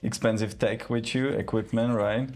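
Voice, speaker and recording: A man's voice: on the low side, steady tone, normal speed, darkish tone. A young man, a wedding videographer hosting a casual podcast, who keeps checking in with "right?". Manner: chatty, often checks with a "right?".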